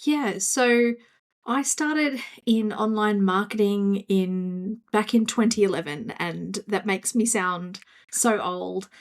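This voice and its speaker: An Australian woman speaking as a podcast guest in a mid-pitched voice. She tells her story in a chatty, self-deprecating way, with plenty of fillers. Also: takes it slowly. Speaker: guest, marketing coach. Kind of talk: podcast interview.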